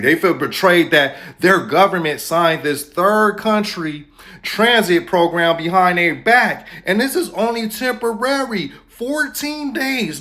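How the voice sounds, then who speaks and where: Man commentator, high bright voice, theatrical, rhetorical questions. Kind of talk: dramatic commentary.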